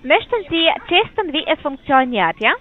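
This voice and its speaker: Female phone sales agent, high pitch, polite and scripted, heard over a tinny phone line. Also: sing-song, moderate pace. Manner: polite, scripted questions, hesitant fillers.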